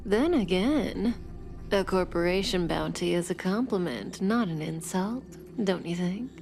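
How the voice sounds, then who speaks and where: A self-assured female outlaw from a video game, teasing and smooth. She speaks slowly in a slightly low register, with music clearly playing behind her. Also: lively intonation, a little mellow. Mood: coy, amused. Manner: smooth, coy, deliberate.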